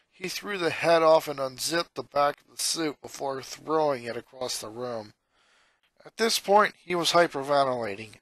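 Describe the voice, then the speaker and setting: A man's voice: medium pitch, a bit bright, no room sound. A man reading a story aloud as a scripted narrator. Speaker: fan fiction reader, narrator.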